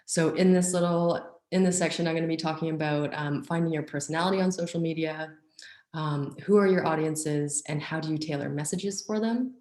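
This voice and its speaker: Low-voiced woman presenting with a cold. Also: steady tone, brisk, darkish tone, reverberant. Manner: upbeat, filler-heavy presenting. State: has a cold and cough. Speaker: nonprofit communications officer. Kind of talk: online conference presentation.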